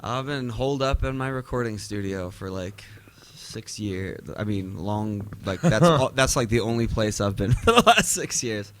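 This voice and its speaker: A man's voice: fairly low pitch, animated, moderate pace, fairly bright tone. A man chatting casually and jokingly as a guest on a radio show. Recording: faint background noise.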